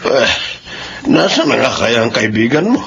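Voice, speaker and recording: Decent concerned dad in pinoy radio drama, mid voice, bit muffled. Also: male voice, medium pitch, big pitch swings, normal speed, gravelly, a bit bright. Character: kindly, decent middle-aged father, concerned. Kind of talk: Filipino radio drama acting. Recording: dull lo-fi radio, faint noise.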